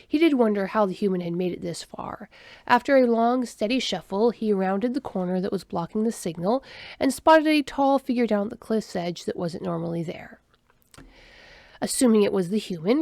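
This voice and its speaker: A woman narrating a story in an expressive voice that swings widely in pitch. Her voice has a gravelly texture, and the recording is dry and close. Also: no room sound. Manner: expressive storyteller voicing every character. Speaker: audiobook narrator.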